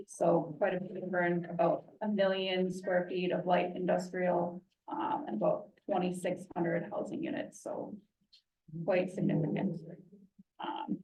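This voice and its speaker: A quiet-voiced woman walks a room through a traffic study, pausing on plenty of ums. She stands some way from the mic, and her voice rings around a roomy meeting hall. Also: lowish voice, distant mic. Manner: soft-spoken, explaining with frequent ums. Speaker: traffic engineer presenting a study. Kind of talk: public meeting presentation.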